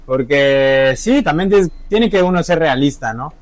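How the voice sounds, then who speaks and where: A man's voice: on the high side, lively intonation, unhurried. A Mexican man with a Mexican accent who hosts a podcast, chatting casually and agreeably. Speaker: podcast host. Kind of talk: casual conversation.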